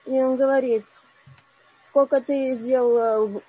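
A woman in her forties calling in to a live online session, telling a personal story haltingly with many broken-off words. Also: sits high, fairly even intonation, dark tone, breathy edge, no echo, lo-fi sound. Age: 40s. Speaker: caller.

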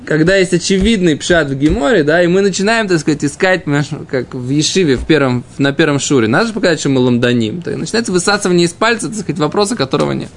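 A man with a fairly high voice and heavy creak leads an informal Talmud class. He reads out phrases and explains them, often repeating himself as he searches for the next words. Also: animated, quick pace, few pauses, slight background noise. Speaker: Talmud teacher. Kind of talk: informal Talmud lesson, reading and explaining text.

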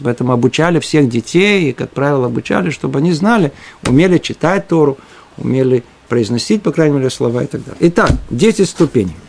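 A man's voice: on the high side, a little mellow. A man giving an explanatory religious lecture as a teacher, didactic, often posing rhetorical questions and answering them himself.

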